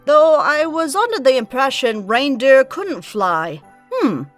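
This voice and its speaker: A woman's voice: sits high, big pitch swings, slow, faint music behind, exaggerated voice. Imperious royal pony princess, voiced by a woman. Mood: lofty. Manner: imperious, old-fashioned phrasing. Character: haughty royal pony princess, archaic speech. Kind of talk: fan comic dub.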